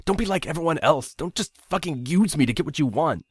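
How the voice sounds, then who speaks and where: A man's voice: a little high, expressive, fairly quick, husky, dry recording, clean studio sound, pitched above the performer's own voice. A man reading a story aloud, voicing a frightened, angry young man who pleads, accuses and swears often. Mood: panicked, furious.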